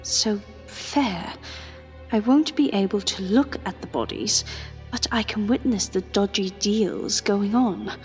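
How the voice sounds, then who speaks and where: Slow-paced woman acting as undercover agent. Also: mid-range voice, a little mellow, soft background music, close to an everyday voice. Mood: wry, plotting. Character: plucky, wry female undercover agent narrating her adventure. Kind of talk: confiding radio drama narration to the listener.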